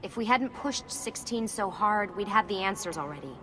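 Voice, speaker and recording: An actress plays a determined young woman who leads a team in a video game. She is matter-of-fact and guarded, with a medium pitch and clear ambient noise around her. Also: animated, moderate pace, close to an everyday voice. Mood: sombre, guarded. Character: serious young female team leader, brisk, determined, guarded. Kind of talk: video game cutscene dialogue.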